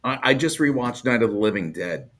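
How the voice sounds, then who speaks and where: A man's voice: medium pitch, expressive, fairly quick, a bit bright. A man chatting casually as a panelist, speaking with enthusiasm and emphasis. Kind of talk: casual chat.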